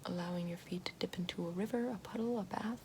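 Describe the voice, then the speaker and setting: Soft whispering witch, a woman acting her out. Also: lowish voice, natural voice. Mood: soothing. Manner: slow soothing, repeats praise. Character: calm gentle female witch guiding elements. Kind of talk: ASMR roleplay. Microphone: close binaural-style mic. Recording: noisy hiss behind voice.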